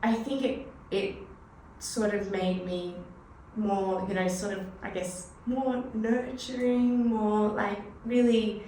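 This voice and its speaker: A woman's voice: medium pitch, fairly even intonation, takes it slowly, dark tone. An Australian woman in her thirties, a finance broker chatting reflectively as a podcast guest, with lots of "you know" fillers. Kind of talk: casual conversational interview. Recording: echoey room, mic at a distance, faint noise.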